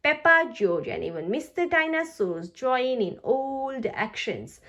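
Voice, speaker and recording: A woman reading a children's storybook aloud in an expressive, deliberate way. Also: sits high. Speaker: storybook narrator.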